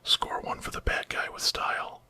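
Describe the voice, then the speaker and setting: A man's voice: normal speed, pushed and bright. Man whispering as a cocky monster hunter. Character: brash, wisecracking male monster hunter. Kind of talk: whispered ASMR storytelling.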